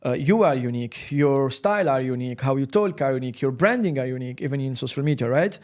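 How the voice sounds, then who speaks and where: A man's voice: mid-range voice, expressive, quick pace, a little mellow. A man from Tunisia, a podcast guest, speaking earnestly in an interview, often repeating words as he builds his point. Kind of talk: casual interview talk.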